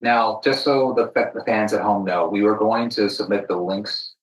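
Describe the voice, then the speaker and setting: Male podcast host, slightly low voice, emphatic casual talker, self-deprecating; sounds back from the mic. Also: moderate pace. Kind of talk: casual chat. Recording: echoey room, distant from mic.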